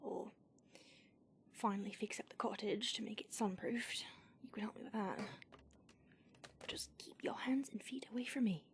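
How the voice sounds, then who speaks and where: A woman's voice: medium pitch, moderate pace, pauses often, natural voice. A woman voicing an aloof, prickly female vampire who is centuries old, speaking in a dry, sardonic whisper for an audio roleplay. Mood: irritated.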